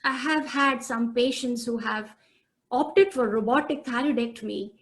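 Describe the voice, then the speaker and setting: A woman hosting an interview, her voice a little higher than most and full of gravelly fry. She puts questions to her guest and answers him with short agreeing words. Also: moderate pace. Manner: brief questions and agreeing replies. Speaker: host, doctor.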